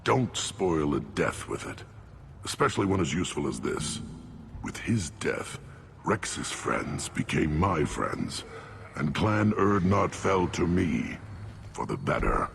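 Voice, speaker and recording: An actor playing a gruff, contemptuous alien clan leader in a video game, with a growling voice and a blunt, imperious manner. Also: male voice, rumbling low, big pitch swings, slow, slight background noise, full character voice. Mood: scornful. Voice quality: gravelly, growling. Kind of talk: video game cutscene acting.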